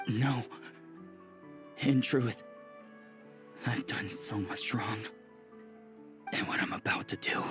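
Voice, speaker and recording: A man voicing an earnest, self-doubting young medic in a video game, with soft music behind him. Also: medium pitch, takes it slowly, pauses often, lightly acted. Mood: dejected.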